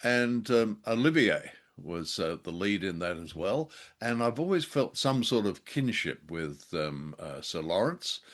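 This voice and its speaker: Low-pitched older man, droll co-host. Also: sing-song, measured pace, hardly any pauses, a bit bright. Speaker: podcast co-host. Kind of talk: casual film and radio drama discussion.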